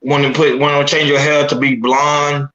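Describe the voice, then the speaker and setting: A man's voice: fairly high pitch, flat delivery, normal speed, reverberant, dull audio, a crowd in the background. A man of Haitian descent on a casual podcast panel, speaking emphatically and often repeating himself as he builds a point.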